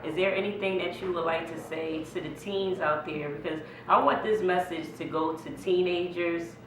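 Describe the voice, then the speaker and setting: Middle-aged American woman interviewer, conversational, very low register, off-mic in a noisy space. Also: steady tone, normal speed. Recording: echoey room, distant mic, background noise.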